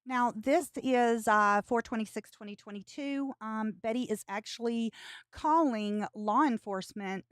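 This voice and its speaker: A woman's voice: a little high, measured pace, fairly bright tone, clear, resonant tone, no echo, studio quality. A woman hosting a live stream, giving casual commentary on clips.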